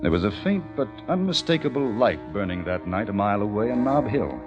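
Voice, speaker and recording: Brooding young american survivor in an old radio play, low voice, theatrical narration, music behind him. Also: male voice, sing-song, a little fast, muffled recording. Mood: tense, hushed. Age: young adult. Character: young educated male survivor of a plague, haunted, watchful. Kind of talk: dramatic first-person narration in old-time radio acting.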